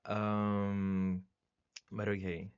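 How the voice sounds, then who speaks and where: Easygoing young man, low voice. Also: drawn-out words, clean tone, dull audio. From Brazil. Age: young adult. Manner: relaxed, hesitant, many fillers and restarts. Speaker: podcast host. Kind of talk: casual podcast chat. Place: student residence room.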